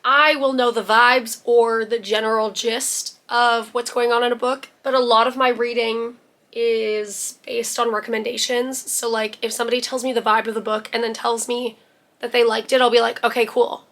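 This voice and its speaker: A young woman with a bright, somewhat high voice, worn hoarse and rusty from laryngitis. She chats casually and a little self-deprecatingly to camera, wandering into asides as she goes. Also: level tone, moderate pace. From Canada. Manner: chatty and self-deprecating, with laughs and trailing asides. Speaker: book vlogger.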